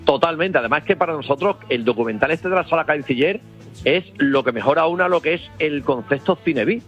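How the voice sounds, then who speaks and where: Man, festival organizer, eager and rambling, muffled radio sound with soft music under it. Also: fairly high pitch, lively intonation, a little fast, husky. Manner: enthusiastic, rambling with many restarts. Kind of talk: casual radio interview.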